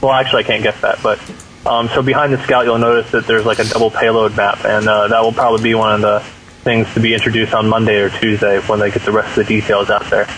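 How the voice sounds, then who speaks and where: A man's voice: on the low side, little pitch movement, quick pace, a bit bright, a little hiss or hum, rough recording. A man on a podcast panel, explaining things in a casual, conversational way.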